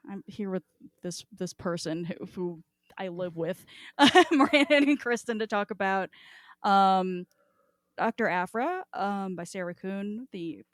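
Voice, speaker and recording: A woman's voice: mid-range voice, dry recording, clean studio sound. A woman hosting a casual podcast chat in a playful, profanity-laced way. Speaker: podcast host.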